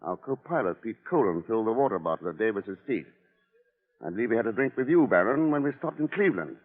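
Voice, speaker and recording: An actor playing a wry, watchful American investigator with clipped, slangy speech in an old radio drama. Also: male voice, lowish voice, lively intonation, fast talker. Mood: terse, suspicious. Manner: clipped, slangy, hard-boiled. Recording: narrow, tinny vintage radio recording.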